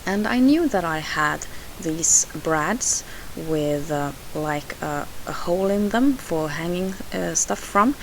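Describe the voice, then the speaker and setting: Low, gravelly Swedish-accented woman with hiss. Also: lively intonation, slow, few pauses, low-quality recording. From Sweden. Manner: hesitant, many "uh" fillers and restarts. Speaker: scrapbooking video host. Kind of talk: voice-over narrating a craft process. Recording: hissy home voice-over.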